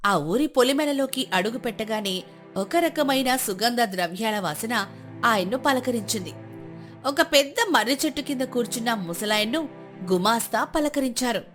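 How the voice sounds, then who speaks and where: A woman narrates a scripted moral tale in a fairly high voice. Soft music plays beneath her storytelling. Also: animated, normal speed.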